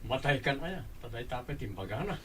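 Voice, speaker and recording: A man's voice: medium pitch, very animated, a little fast, crowd noise, close to an everyday voice. A man playing a lovesick young soldier, earnest and bantering with his buddy, with an Ilocano accent, in a comedy radio drama. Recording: noisy ambience behind the voice.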